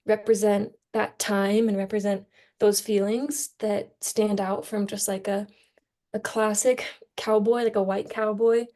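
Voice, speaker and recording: A woman's voice: medium pitch, flat delivery, unhurried, dark tone. A young woman in a casual group discussion, speaking informally and with enthusiasm as a student on a podcast. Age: young adult.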